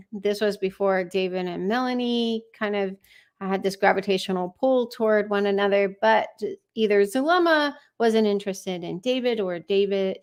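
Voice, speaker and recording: A woman hosting a true crime live stream, giving informal, conversational commentary with wry asides. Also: medium pitch. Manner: conversational, wry asides, acts out read messages.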